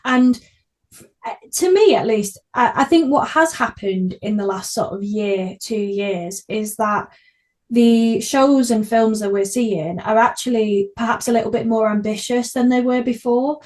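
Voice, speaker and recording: A woman's voice: on the high side, unhurried, a little mellow. A woman hosting a podcast in a casual, chatty, effusive conversational way. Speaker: podcast host.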